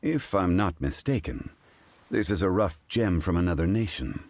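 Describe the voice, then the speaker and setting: A man voicing a composed, learned character in a video game, speaking in a measured, formal, courteous way. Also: low-pitched, expressive, darkish tone, no room sound. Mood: calm, formal.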